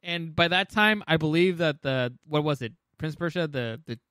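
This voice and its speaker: Higher-pitched man chatting casually, dry close sound. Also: moderate pace, bright, forceful tone, smooth, no echo. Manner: informal, freely swearing. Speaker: podcast co-host. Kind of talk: casual podcast chat ranking video game movies.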